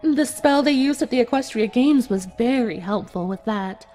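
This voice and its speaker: A woman voicing a cold, bitter, vengeful unicorn villain in a dramatic fan fiction audio reading, speaking in a theatrical, deliberate way. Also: a little high, music in the background, lightly acted. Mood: menacing, controlled.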